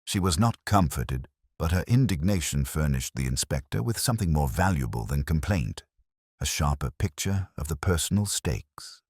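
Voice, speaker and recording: A man narrates a literary mystery with formal, measured delivery. His voice is extremely deep and husky, moving widely in pitch, in a dry recording. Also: expressive, a little mellow, dry recording. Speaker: audiobook narrator.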